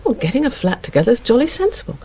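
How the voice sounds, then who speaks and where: A middle-aged English mother in a radio drama, supportive and gently fussy. Her voice is soft and husky, with a mellow tone and lively intonation. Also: fairly high pitch, rapid-fire, heavily acted. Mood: supportive, fussing. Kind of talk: radio drama acting, genteel conversation.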